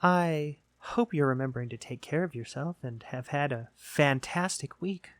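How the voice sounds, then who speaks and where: Raised, bright-voiced man greeting listeners. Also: on the high side, moderate pace, no echo. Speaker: audio roleplay creator introducing the piece.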